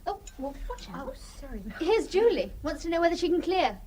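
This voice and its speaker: An actress playing a brisk, cheerful young English wife in a radio drama. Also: female voice, very high voice, sing-song. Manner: brisk, bright.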